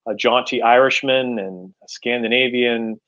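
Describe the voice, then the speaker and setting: A middle-aged man answering interview questions as an author, halting and repeating words as he explains, on a video call. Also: medium pitch, steady tone, a bit bright. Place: indoors at home, room with bookshelves, on a video call. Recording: slightly dull video-call audio.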